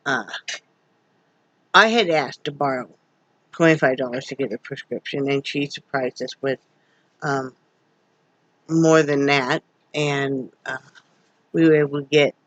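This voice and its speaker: A woman's voice: low voice, fairly bright tone. A wheezy middle-aged woman with a sore throat, talking folksy and rambling at home. The sound is muffled and lo-fi, and the room is dry, with no echo. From Wyoming, USA. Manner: folksy, rambling, many fillers. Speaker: vlogger. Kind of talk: casual home vlog chat. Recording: dull lo-fi home recording.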